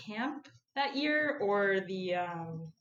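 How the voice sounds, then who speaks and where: A woman's voice: mid-range voice, lively intonation, very slow, a bit bright, muffled recording. A woman guest on a casual podcast, talking with dry, wry understatement. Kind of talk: casual chat.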